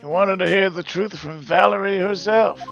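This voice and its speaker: Man playing a gravelly, sly, needling game lawyer. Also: high-pitched, fairly even intonation, normal speed, a bit bright, dull audio, faint music behind. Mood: sly, probing. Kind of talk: live fan dub of a visual novel game.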